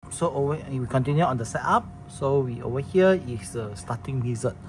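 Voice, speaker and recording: Male demonstrator, mid pitch, casual and halting how-to delivery, noisy room recording. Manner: halting, plain wording with many restarts and fillers. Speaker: product demonstrator. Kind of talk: casual product demo walkthrough.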